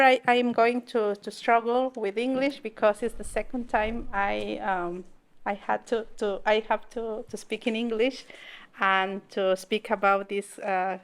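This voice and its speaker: A woman in her forties from southern Mexico, Mixe her first language, giving a public talk in English with a Mexican Spanish accent, halting and restarting as she searches for words. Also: medium pitch, clean tone. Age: 40s. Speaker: invited speaker.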